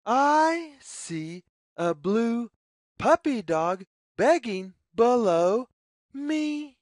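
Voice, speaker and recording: A woman reading a children's picture book aloud as storyteller, with deliberate, sing-song phrasing. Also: on the high side, very animated, a little mellow, dry recording, studio quality.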